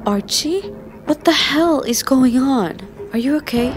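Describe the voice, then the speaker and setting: A woman with a Finnish accent dubbing a sharp, scheming rich teenage girl in a confident, cutting manner. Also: sits high, animated, measured pace, over music. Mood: urgent. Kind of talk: fan dub over a teen drama.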